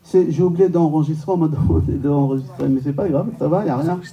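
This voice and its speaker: High, piercingly bright male rabbi, distant mic. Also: fast talker, lo-fi sound. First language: Hebrew. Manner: rhetorical questions, emphatic repetition, joking. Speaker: rabbi giving a lecture. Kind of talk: informal religious lecture to a live audience. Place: medium-sized indoor synagogue function room.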